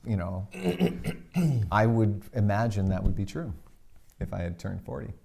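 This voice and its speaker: A middle-aged man co-hosting a casual talk show, speaking haltingly and telling anecdotes. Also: lowish voice, expressive, creaky, warm tone, slightly rough. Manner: halting, hesitant, retells anecdotes. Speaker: co-host. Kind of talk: casual chat.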